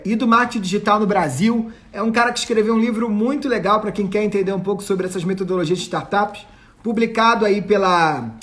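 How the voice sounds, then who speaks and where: A Brazilian man hosting a casual live online chat, effusive and informal, often repeating his phrases. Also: sits high. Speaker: host. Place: online live video call.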